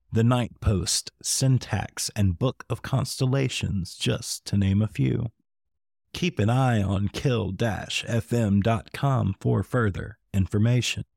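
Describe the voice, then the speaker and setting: Male host for dramatic scripted intro; low-pitched, measured, very dry close sound. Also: vocal fry, darkish tone, no echo. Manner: measured, theatrical. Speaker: showcase host.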